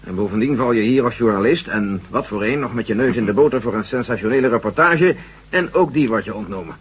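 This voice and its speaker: Husky, bluff army general. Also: male voice, mid-range voice, animated, fast talker. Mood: businesslike, friendly. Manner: bluff, hearty, colloquial. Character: gruff, jovial army general, old buddy of the hero. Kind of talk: Dutch radio drama acting. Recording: dull, muffled old radio recording.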